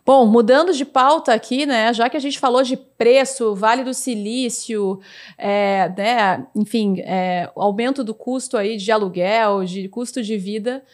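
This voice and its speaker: A woman hosts a relaxed podcast conversation with a fairly high voice. She talks quickly and chattily, dotting her sentences with little tags. The tone stays informal throughout. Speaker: host. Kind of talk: casual podcast chat.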